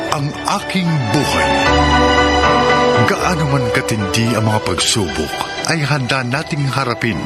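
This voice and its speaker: A man with a Filipino accent giving solemn, measured, dramatic scripted narration as a radio drama host. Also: medium pitch. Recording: loud music under the voice.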